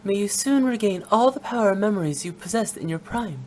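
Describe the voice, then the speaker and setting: A woman's voice: mid-range voice, lively intonation, fairly quick, a little mellow, a little hiss or hum, lightly acted. A woman voicing a playful young funeral director with a cheeky, bubbly, teasing manner, in a video game.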